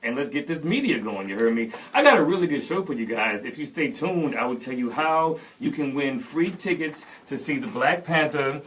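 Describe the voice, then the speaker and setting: Laid-back New man, bright tone. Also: medium pitch, lively intonation, moderate pace, few pauses, recorded from a distance, a little hiss or hum. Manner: laid-back, promotional, local slang. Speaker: radio show host. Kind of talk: casual radio talk.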